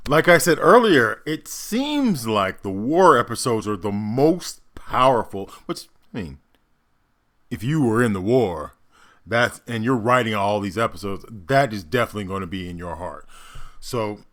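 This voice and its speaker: Animated man chatting in reaction. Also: lowish voice, measured pace. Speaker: reaction video host. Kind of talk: casual reaction commentary.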